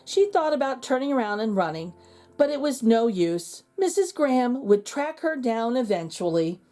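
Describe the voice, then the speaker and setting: Expressive, high-voiced woman storyteller. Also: moderate pace, soft background music. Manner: expressive, emphatic. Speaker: children's book reader. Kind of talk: storybook read-aloud narration.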